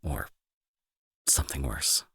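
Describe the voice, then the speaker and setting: A man playing a remorseful, protective bully with a grieving streak, in an intimate dramatic audio roleplay with halting, earnest delivery and a husky, breathy voice. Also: bright, forceful tone, dry recording, studio quality. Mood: earnest, consoling. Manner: halting, emotional, earnest pleading. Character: angry male bully turned remorseful, protective, grieving brother.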